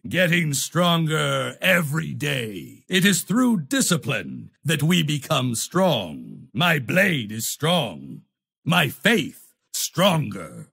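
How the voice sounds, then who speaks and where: A male actor playing a proud, zealous holy knight leader in a fantasy video game, with a gravelly, husky voice and grandiose, solemn delivery. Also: a little high, a bit bright, full character voice. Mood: resolute. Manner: grandiose, solemn, deliberate declamation. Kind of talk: theatrical fantasy game voice lines.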